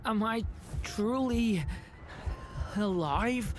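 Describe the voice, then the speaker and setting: A woman voicing an earnest, vulnerable young dragon boy in a video game, with a halting delivery. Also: on the high side, noisy background. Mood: weak, searching. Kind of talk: dramatic video game voice acting.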